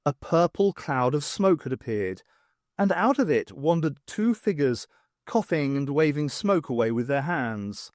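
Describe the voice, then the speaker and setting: A man narrating an audiobook in a measured, unhurried storytelling manner, close to the mic. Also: a little high, very animated, darkish tone, no room sound.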